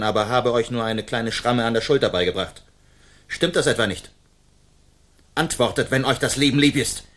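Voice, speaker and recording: A man's voice: mid-range voice, fairly bright tone, close to an everyday voice. A man acting a proud, gallant swordsman outlaw in a radio play, speaking formally and commandingly with defiant threat.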